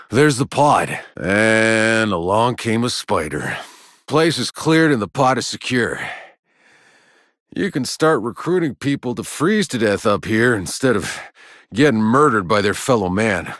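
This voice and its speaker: A man's voice: medium pitch, fairly bright tone, no room sound. A man playing a cynical, tough soldier in a sci-fi video game, with a gritty voice and dry wisecracks. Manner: sardonic, swearing, dry wisecracks. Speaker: video game player character voice. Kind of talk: scripted video game voice lines and combat barks.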